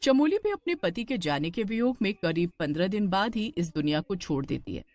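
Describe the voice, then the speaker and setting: A woman narrating a scripted horror cartoon story in an expressive storytelling style. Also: medium pitch, a little fast, dry recording.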